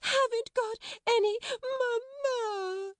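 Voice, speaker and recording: A woman voicing a spoiled, crying very small girl with a shrill voice in a storybook reading. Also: slow pace, no echo. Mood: wailing.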